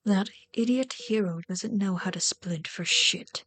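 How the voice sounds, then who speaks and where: A woman's voice: mid-range voice, unhurried, fairly bright tone. A woman playing a mocking yet caring villain in an ASMR roleplay, with a dry, taunting, theatrical delivery. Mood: scornful, dry. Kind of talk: ASMR audio roleplay drama.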